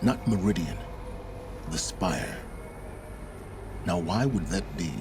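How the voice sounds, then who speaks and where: A man playing an enigmatic, calculating mentor in a video game drama, speaking in a measured, deliberate, teacherly way. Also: deep voice, animated, noisy background, heavily acted. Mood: coolly instructive. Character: enigmatic, calculating male mentor confessing dark past deeds.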